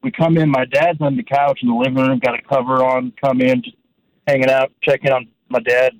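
A man's voice: medium pitch, flat delivery, fairly quick, dry recording. A man calling a radio show and casually telling a story. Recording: phone call with faint noise, clicks.

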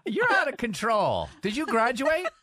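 A man's voice: very high-pitched, huge pitch swings, normal speed, crowd noise. A man hosting an upbeat radio phone-in, chatting with a bantering, teasing manner. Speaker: radio show host.

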